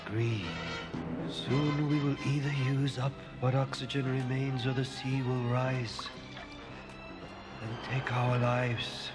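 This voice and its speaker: An actor voicing a calm adult male warrior in a 1980s cartoon clip, speaking slowly and in a measured way. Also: medium pitch, over loud music, natural voice. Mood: grim.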